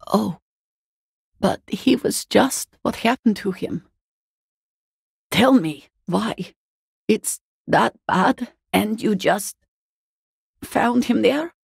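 Worn-out middle-aged working class wife in a game, husky voice, slow n halting, plain spoken. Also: female voice, on the low side, a little mellow, no room sound, studio quality, heavily acted. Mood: shocked, distressed. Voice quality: husky, gravelly. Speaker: video game character. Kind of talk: dramatic game voice acting.

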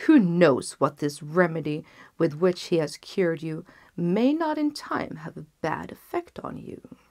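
A scheming grand vizier from an old storybook, voiced by a woman reader. His tone is urgent and insinuating, and his pitch rises and falls widely. A gravelly roughness runs under every word. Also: animated, unhurried, darkish tone, heavily acted.

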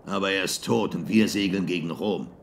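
A man's voice: low-pitched, fairly quick, a little hiss or hum. A man voicing a scornful, confident barbarian warrior in an audiobook, blustering as he talks. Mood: smug. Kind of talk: audiobook character acting.

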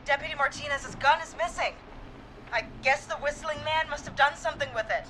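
A woman's voice: sits high, a little fast, sharp tone, a little hiss or hum, poor audio. A woman acting a resolute but frightened police dispatcher in a video game, urgent and hesitant under stress. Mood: tense, searching.

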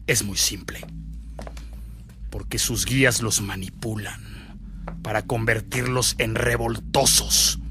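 A man's voice: lowish voice, pushed and bright, a little hiss or hum. A man acting a ruthless, menacing commander with a Mexican accent in a radio drama, barking orders with contempt.

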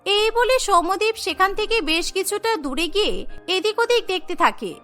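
A woman's voice: extremely high pitch, expressive, a little fast, fairly bright tone, faint music behind. A woman narrating a scripted cartoon story in a storytelling manner.

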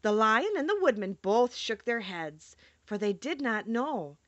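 A woman's voice: fairly high pitch, very animated, a little slow, no echo, muffled recording. A woman reading a children's storybook aloud as an audiobook narrator. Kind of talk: expressive scripted storybook narration.

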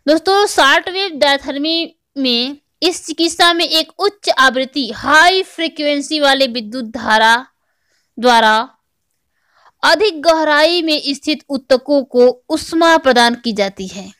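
High-pitched Indian woman teaching a lesson. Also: measured pace, fairly bright tone, dry recording. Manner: didactic, repeats and rephrases key points. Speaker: online physical education teacher. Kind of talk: explanatory exam-prep lecture.

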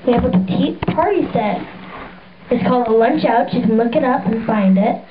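A teenage girl chatting casually and excitedly while giving a tour of a dollhouse, holding a microphone in her bedroom. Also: on the high side, normal speed, background noise. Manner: chatty, excited, lots of "like" and "literally". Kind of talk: casual chat. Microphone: handheld microphone. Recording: echoey room, dull lo-fi sound.

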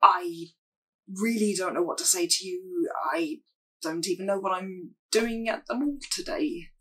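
A woman playing an awkward, lovestruck young person, hesitant and earnest in a halting monologue. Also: lowish voice, bright, forceful tone, husky, far from the mic, exaggerated voice, poor audio. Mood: nervous. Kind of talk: dramatic monologue acting.

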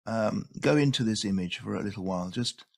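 Older male presenter from Venezuela, soft and unhurried, heard over a video call. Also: fairly low pitch, vocal fry. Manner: soft, unhurried, repeats words while thinking. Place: home room with window.

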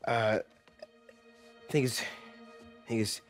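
A young male actor playing a nervous, polite teenage boy in a video game, halting and hesitant. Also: mid-range voice, fairly even intonation, soft background music. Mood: anxious, confiding. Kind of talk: naturalistic game cutscene acting.

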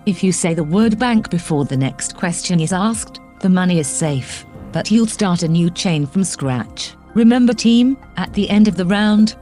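A stern, cool female quiz-show host from a cartoon, voiced by a woman, reading the rules in a crisp, formal manner. The voice sits a little low and sounds dark and mellow, with faint music behind. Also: natural voice.

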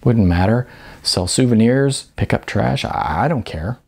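A man narrating a storybook plays a shy, awkward guy who stumbles over his words. His voice is gravelly and husky, with lively swings in pitch. He sounds unsure of himself and self-effacing. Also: full character voice. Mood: resigned, self-deprecating. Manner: halting, stammering. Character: shy, hesitant, awkward man, a bored writer.

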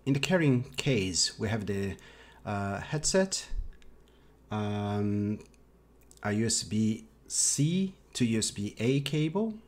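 A man in his thirties giving a casual tech product review with hesitant, halting phrasing. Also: lowish voice, sing-song. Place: small home office, indoors.

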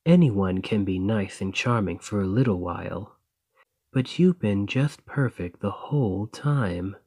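Man acting a husky, slow-talking tender boyfriend. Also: lowish voice, darkish tone, no room sound, clean studio sound. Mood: loving, earnest. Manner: tender, unhurried, reassuring. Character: devoted, gentle boyfriend reassuring a beloved. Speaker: ASMR roleplay voice actor. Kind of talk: romantic comfort ASMR roleplay.

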